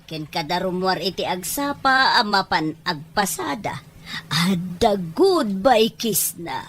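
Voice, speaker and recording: Woman playing a gushing older mother, swooping pitch. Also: sing-song, slow, fairly bright tone, exaggerated voice. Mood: proud, gushing. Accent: Ilocano Filipino. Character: happy older married mother, doting and boastful. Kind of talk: homespun audio drama acting. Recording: faint background noise.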